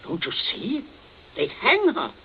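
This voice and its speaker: A man's voice: sits high, wildly swooping pitch, unhurried, slightly rough, full character voice. An actor playing a stern, domineering, pompous middle-class English father in a 1940s radio drama. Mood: exasperated. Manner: curt, exasperated, pompous. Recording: muffled, hissy 1940s radio broadcast.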